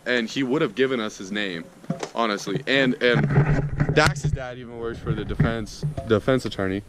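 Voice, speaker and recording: A man's voice: mid-range voice, moderate pace, loud music behind the voice. A young American man telling a story casually and slangily to his friends on a noisy amateur video.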